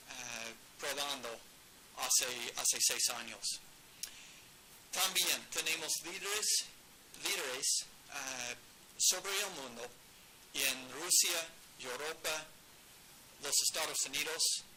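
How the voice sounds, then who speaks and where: A man presenting slowly in a second language he admits is weak, with frequent restarts, standing in a studio set. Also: fairly high pitch, very noisy. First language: English. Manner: slow, halting, many restarts. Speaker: company founder presenting. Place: studio set with a photo wall.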